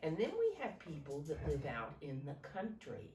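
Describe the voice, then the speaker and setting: A woman's voice: sits low, huge pitch swings, moderate pace, dark tone. A woman teaching and reading a story aloud to preschoolers in simple, instructive language, often putting questions to her listeners. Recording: roomy, distant mic, faint noise.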